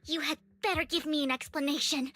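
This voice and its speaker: A woman's voice: high voice, fairly even intonation, a little fast, sharp tone, no room sound. A woman playing an indignant, hurt young female thief, a loyal comrade, in a video game. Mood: hurt, angry.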